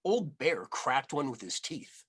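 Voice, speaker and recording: Male children's story reader: unhurried, expressive, slightly rough-voiced. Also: mid-range voice. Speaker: rabbi reading aloud.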